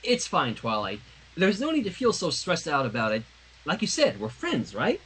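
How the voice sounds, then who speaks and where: Teenage girl, read by a man: husky, fairly high, gently reassuring, a touch awkward, storybook fanfiction dialogue. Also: wildly swooping pitch, moderate pace, heavily acted, low-quality recording. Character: friendly, reassuring teenage girl, a former unicorn. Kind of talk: dramatic fanfiction reading.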